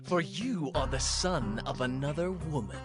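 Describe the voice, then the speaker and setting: A man's voice: on the high side, lively intonation, a little slow, music in the background. A man playing a hostile half-brother casting out his sibling, cold and emphatic, in a dramatized scripture reading. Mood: scornful.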